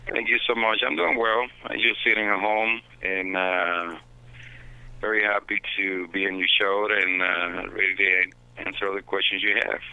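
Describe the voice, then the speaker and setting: A man's voice: low voice, level tone, normal speed, bright, forceful tone, clean tone, dry recording. A man on a tinny phone line. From Dominican Republic. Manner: earnest, reflective storytelling. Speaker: baseball manager guest. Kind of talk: phone interview. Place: at home.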